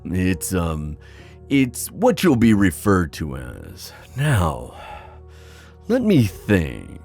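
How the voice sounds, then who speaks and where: A man playing a proud, protective sea god and father in a fantasy ASMR roleplay, musing haltingly with sighs. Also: low voice, wildly swooping pitch, creaky, darkish tone, faint music behind, heavily acted. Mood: gentle, reassuring.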